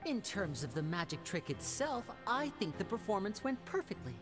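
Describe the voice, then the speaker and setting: A woman voicing a suave, playful teenage boy magician in a video game, speaking smoothly and theatrically. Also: medium pitch, very animated, moderate pace, natural voice. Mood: breezy. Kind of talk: video game character acting. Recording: game music behind the voice.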